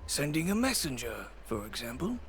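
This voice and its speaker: An actor playing a sly, smug business partner who drawls with mild mockery. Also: male voice, on the high side, very animated, moderate pace, a bit bright, slight background noise. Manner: drawling, smug, mildly mocking.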